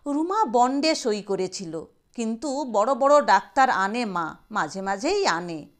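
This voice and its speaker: Slow, high-pitched woman narrating a story. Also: lively intonation. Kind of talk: scripted dramatic narration of a Bengali short story.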